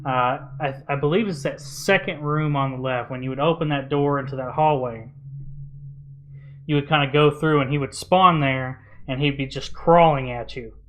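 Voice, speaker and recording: A man's voice: medium pitch, moderate pace, fairly bright tone. A man chatting casually and informally as an interviewer in a gaming interview, with some mild swearing. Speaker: interviewer and gamer. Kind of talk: casual chat interview about a horror game. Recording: dull, noisy lo-fi voice recording.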